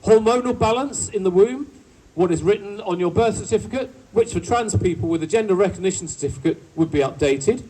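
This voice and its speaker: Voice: medium pitch, fairly quick, few pauses, dark tone, recorded from a distance, poor audio. A speaker giving a protest speech to a crowd in a town square, with playful asides to the audience. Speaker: rally speaker. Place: outdoor town square rally. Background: faint crowd.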